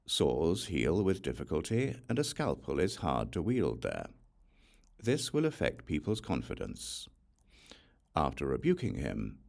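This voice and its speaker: A man reading a religious text as scripted audiobook narration in a measured, deliberate way. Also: low-pitched, creaky. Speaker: audiobook reader.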